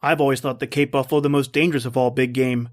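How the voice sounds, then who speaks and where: A man's voice: medium pitch, level tone, fast, close to an everyday voice. A man playing a shipwrecked big-game hunter, polite and observant, in earnest first-person radio drama style. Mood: polite, inquisitive.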